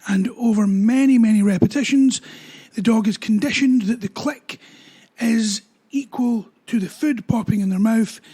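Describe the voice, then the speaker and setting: Dog trainer guy, husky mellow voice, laid back explaining. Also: sits high. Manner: relaxed, explains with everyday examples. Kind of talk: explanatory talk to camera.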